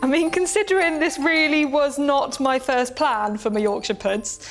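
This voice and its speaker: A woman's voice: high-pitched, normal speed. A British woman chatting while she cooks, giggly and self-deprecating. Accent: British English. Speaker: contestant cooking. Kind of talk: casual chat while cooking. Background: music.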